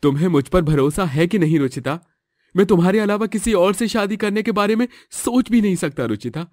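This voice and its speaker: A man's voice: high-pitched, lively intonation, fairly quick, a little mellow, no room sound. A man voicing an earnest, lovestruck young son of a village chief, emotional and pleading, in a moral-story cartoon. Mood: pleading, loving.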